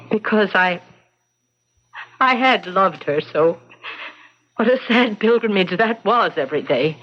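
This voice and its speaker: Grieving housekeeper on lo-fi radio. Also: female voice, lowish voice. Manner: halting, sorrowful storytelling. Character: grieving female housekeeper, haunted and solemn. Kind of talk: old-time radio drama acting. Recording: dull lo-fi radio recording.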